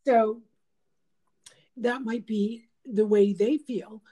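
A woman's voice: fairly high pitch, fairly even intonation, drawn-out words, mellow. A woman hosting a casual chat, talking in a meandering, reflective way with many fillers. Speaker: host.

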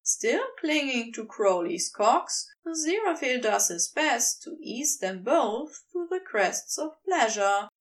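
A woman's voice: sits high, far from the mic, rough recording. A woman reading scripted fiction aloud as a narrator. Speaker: podfic reader.

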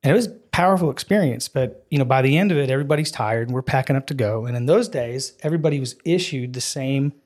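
A man in his forties, originally from Wisconsin, tells stories as a podcast guest. He is animated and laughs easily, filling his talk with "you know." His voice sits at an ordinary middle pitch for a man. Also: fast talker, few pauses. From Wisconsin, US Midwest. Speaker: guest, ministry program leader. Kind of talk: casual storytelling in a podcast chat.

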